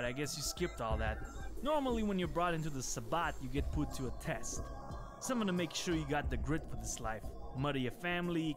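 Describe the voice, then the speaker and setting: An actor playing a cynical, streetwise vampire gangster in a video game, talking in tough, confiding slang. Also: male voice, a little high, lightly acted. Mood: matter-of-fact. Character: streetwise cynical male vampire gangster, wary, foul-mouthed. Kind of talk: scripted video game dialogue. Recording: game music behind the voice.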